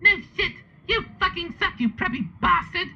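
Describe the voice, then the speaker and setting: A man voicing an excitable, dramatic teenage girl in a storybook, with a shrill delivery. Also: moderate pace, a little hiss or hum, full character voice. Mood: furious. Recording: dull lo-fi sound.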